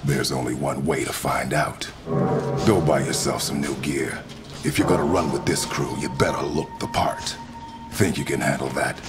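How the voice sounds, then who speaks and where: Super deep gang boss, commanding and unbothered, video game scene w music behind. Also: male voice, moderate pace. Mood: stern, commanding. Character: deep-voiced adult male street crime boss, unbothered, commanding. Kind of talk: video game cutscene acting.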